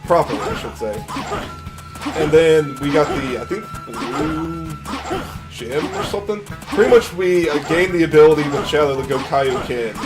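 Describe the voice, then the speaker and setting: A man with a deep voice giving relaxed live commentary on his own speedrun, chatty and explaining techniques as he plays, often trailing off and restarting sentences. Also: normal speed, poor audio. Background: game music.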